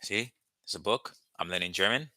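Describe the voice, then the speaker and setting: Haitian-accented young man as online language tutor, slow patient repeats, sharp tense tone, lowish pitch. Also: dry recording. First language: Haitian Creole. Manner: patient, repeats words slowly for learners. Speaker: language teacher. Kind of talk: live online language lesson.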